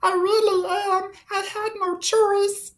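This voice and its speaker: A timid little male storybook creature, put on by a woman. The voice is small and very high, unhurried, full of sorrow and apology. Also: little pitch movement. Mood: apologetic. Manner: mournful, apologetic. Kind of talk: children's storybook character reading.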